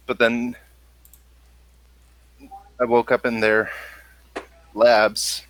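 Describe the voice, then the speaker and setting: A man narrating a tabletop role-playing game as game master, with a halting, stop-start delivery. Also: mid-range voice, a bit bright, rough recording.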